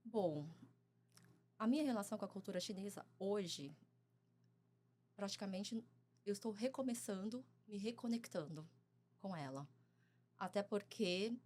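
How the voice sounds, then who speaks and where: A Brazilian woman in her forties speaks as a podcast guest. She talks softly and haltingly, pausing often to find her words, at an ordinary middle pitch. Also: animated. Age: 40s. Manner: soft-spoken and hesitant, with many fillers and pauses. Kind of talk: casual interview conversation.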